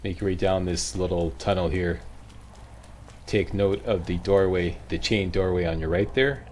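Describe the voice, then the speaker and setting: Low-voiced Canadian man, game walkthrough commentary. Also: moderate pace, darkish tone, a little hiss or hum. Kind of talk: informal instructional gameplay commentary. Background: game sound.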